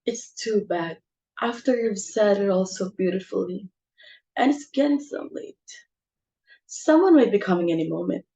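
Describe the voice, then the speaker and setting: A woman's voice: medium pitch, animated, normal speed, creaky, mellow, highs cut off. A woman playing a bossy, social-climbing society mother with theatrical exclamations in an amateur stage comedy reading. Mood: fussy, commanding.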